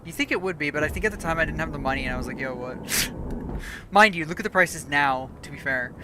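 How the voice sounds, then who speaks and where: A woman's voice: deep voice, animated, fast, background noise. A woman streaming a game, chatting casually and rambling, correcting herself as she goes, her voice starting to go. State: losing her voice. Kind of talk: casual live gameplay chat.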